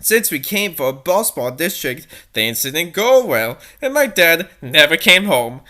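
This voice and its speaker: A woman's voice: sits low, very animated, a little slow, pushed and bright, pitched below the performer's own voice, full character voice. A woman voicing a melodramatic, edgy fourteen-year-old cat princess from a fanfiction, in an overdramatic, mock-serious manner. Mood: self-important, dramatic.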